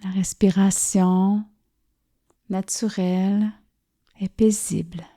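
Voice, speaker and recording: A woman leads a guided relaxation as a yoga nidra teacher. Her voice is mellow and warm, at an ordinary pitch for a woman. She speaks very slowly and softly, leaving gentle pauses between soothing phrases. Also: little pitch movement. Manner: soft, soothing, gently pausing. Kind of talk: guided relaxation meditation narration.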